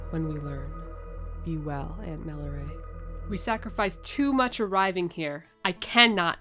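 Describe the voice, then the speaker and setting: A woman's voice: on the low side, a bit bright, close to an everyday voice. A woman voicing a sincere, reflective female alien explorer, reading her written logs aloud.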